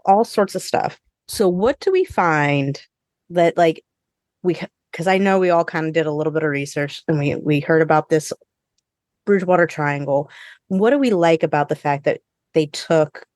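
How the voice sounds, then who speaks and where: A woman's voice: deep voice, darkish tone, no room sound, studio quality. A woman hosting a podcast, leading a casual conversational discussion. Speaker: podcast host.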